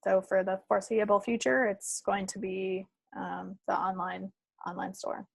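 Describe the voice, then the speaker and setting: A woman's voice: mid-range voice, flat delivery, moderate pace, vocal fry, slightly rough, no echo. An American woman chatting casually as a podcast co-host. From United States. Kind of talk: casual chat.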